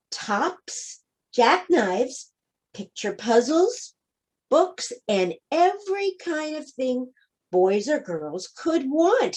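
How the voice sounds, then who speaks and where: Woman storyteller for preschool read-aloud: very slow pacing, higher pitch, expressive direct address. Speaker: storybook reader. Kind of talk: children's picture-book read-aloud with asides.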